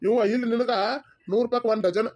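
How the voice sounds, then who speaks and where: A man's voice: very high-pitched, fairly even intonation, fast talker, darkish tone. A man voicing a blunt, sceptical village uncle in a cartoon comedy, speaking emphatically with a North Karnataka accent.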